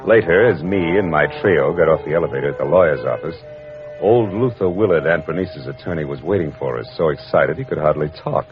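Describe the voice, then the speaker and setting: An actor playing a wry, tough private detective who narrates his case in a deadpan, sardonic 1940s radio style. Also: rumbling low, brisk. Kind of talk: 1940s radio detective acting, scripted first-person narration. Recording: dull vintage radio recording with music.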